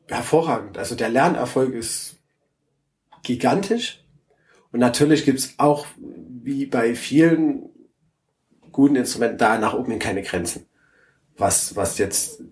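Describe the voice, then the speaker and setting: A ukulele builder talking enthusiastically at a festival, often restarting and repeating words as he answers interview questions. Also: medium pitch. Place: outdoor music festival.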